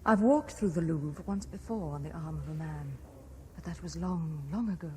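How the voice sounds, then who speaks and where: A woman's voice: deep voice, moderate pace, darkish tone, close to an everyday voice. Actress playing haunted, dreamy British woman, noisy recording. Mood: haunted, wistful. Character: haunted, dreamy married woman, wistful and enigmatic. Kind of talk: 1970s British radio drama acting. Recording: noisy 1970s radio broadcast recording.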